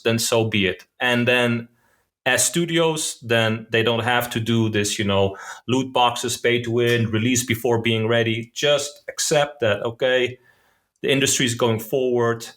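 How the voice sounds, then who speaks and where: Podcast guest, male, middle-pitched voice, reflective and opinionated. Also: a bit bright. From Netherlands. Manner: thoughtful and opinionated, restarts words, says "man". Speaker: podcast guest, concept designer. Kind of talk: casual podcast conversation.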